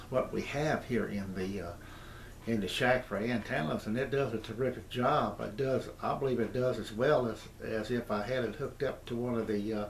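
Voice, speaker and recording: An American man in his sixties giving a casual, unscripted hobby demonstration in a halting, rambling, repetitive way. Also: medium pitch. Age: 60s. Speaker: amateur radio hobbyist presenting his gear. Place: small indoor radio room at home. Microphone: camera mic, tripod then handheld. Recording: roomy echo, background noise, camcorder.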